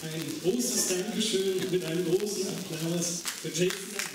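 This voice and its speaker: Guy hosting on stage, pretty high voice, ceremonial, big echo and loud music. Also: steady tone, measured pace. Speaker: event host. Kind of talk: stage announcement. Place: on stage in a large hall. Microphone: handheld stage microphone. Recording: echoey hall, distant, loud music.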